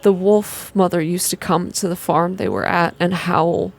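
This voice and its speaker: A woman voicing a shy, wistful man telling stories in a quiet, hesitant, calming manner, in an ASMR game reading. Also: fairly low pitch, a little slow, gravelly.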